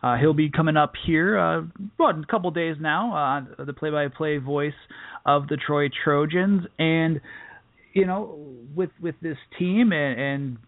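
A man with a slightly high voice hosts a sports interview in a conversational style. He feels his way haltingly through long questions, repeating words. The recording is dry and a little dull. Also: no room sound.

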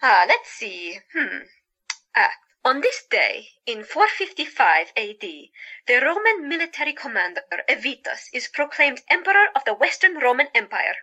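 A woman's voice: sits high, normal speed, full character voice, poor audio. A woman playing a joyous, playfully theatrical and easily flustered doctor in a 1917 audio drama. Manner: animated, exclaiming.

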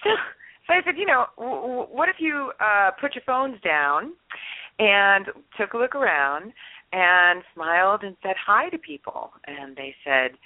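A woman's voice: mid-range voice, dry recording. A woman guest on a radio interview, bubbly and laughing often as she tells a story, acting out what her friends said. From Los Angeles. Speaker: dating expert guest. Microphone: phone call. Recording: tinny phone line.

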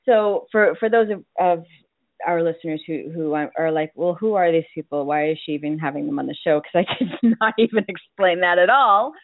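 A woman's voice: on the low side, a little fast, no room sound. A talkative woman with a Boston accent hosts a call-in style radio chat, laughing easily and tripping over her own restarts. Her voice comes through a tinny phone line. The sound is dry and close, with no room around it. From Boston, USA. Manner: chatty, laughs often, many restarts. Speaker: radio show host. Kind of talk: casual chat.

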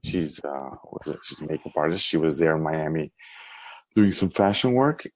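A man's voice: rumbling low, animated, moderate pace, a little mellow, rough recording. A middle-aged man with an American accent, raised in New York, telling his life story easily as a podcast guest. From Venezuela, raised in New York. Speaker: guest, lifestyle blogger. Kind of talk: interview storytelling.

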